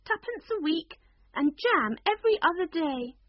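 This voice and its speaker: A woman doing a high, flustered fairy-tale queen. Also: very animated, moderate pace, no room sound. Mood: fluttery. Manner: high, fluttery and exclaiming. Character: flustered, dithering, kindly queen. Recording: dull lo-fi recording.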